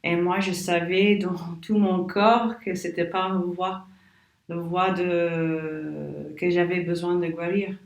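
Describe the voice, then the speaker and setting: A woman's voice: on the low side, level tone, slow pace, roomy sound, far from the mic. A woman with an English-speaking Canadian accent, a native English speaker, answering interview questions hesitantly as she searches for words in a second language. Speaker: guest, naturopath. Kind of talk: podcast interview.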